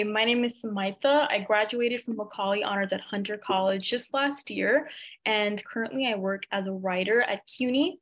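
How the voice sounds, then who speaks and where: A woman's voice: medium pitch, fairly bright tone. A woman in her twenties giving a short, friendly introduction as a webinar panelist. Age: 20s. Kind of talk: brief introduction. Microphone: webcam mic on video call.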